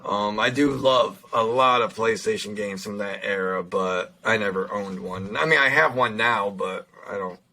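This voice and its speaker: A young man with a slightly raspy voice, co-hosting a casual podcast, chatting and reading out news with lots of pauses and fillers. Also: lowish voice, steady tone, bright, forceful tone. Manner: halting, lots of fillers and restarts. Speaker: podcast co-host.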